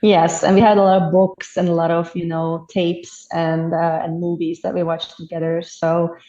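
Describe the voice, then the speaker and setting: A woman, a storyteller chatting in an interview, thoughtful and quick to laugh. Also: on the low side, little pitch movement, warm tone. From Hungary. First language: Hungarian. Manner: thoughtful, easy laughs, restarts mid-sentence. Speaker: storyteller guest. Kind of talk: casual interview chat. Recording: dull roomy sound.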